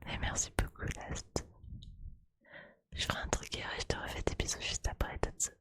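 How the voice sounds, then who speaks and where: Woman, full breathy whisper, ASMR live streamer, casual playful chat, close mic. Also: moderate pace. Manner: informal, playful, chatty. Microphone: close microphone at a PC.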